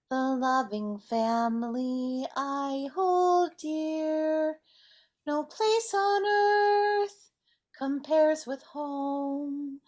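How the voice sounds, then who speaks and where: A woman voicing an earnest, sweet Muppet family member in an amateur dramatic reading. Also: sits high.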